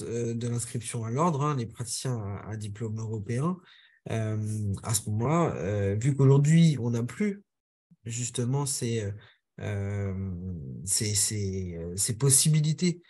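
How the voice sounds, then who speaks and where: A man giving a webinar presentation over a video call, speaking haltingly with many filler sounds and restarts. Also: mid-range voice, darkish tone.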